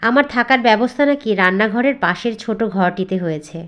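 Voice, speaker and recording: A woman acts as a young, simple village stepdaughter in a storybook cartoon. Her voice is fairly high. She is earnest and plaintive, a wronged girl recounting her life. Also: dull audio, natural voice. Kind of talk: storybook narration and cartoon dialogue.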